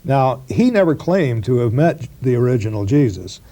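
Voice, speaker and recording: A man with a mellow, soft-edged voice lays out history in an unhurried lecture style. He stops and starts often, with plenty of fillers and repeated words. It sounds like a 1990s broadcast interview. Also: mid-range voice, sing-song. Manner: halting, with many fillers and restarts. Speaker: professor being interviewed. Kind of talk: explanatory interview talk.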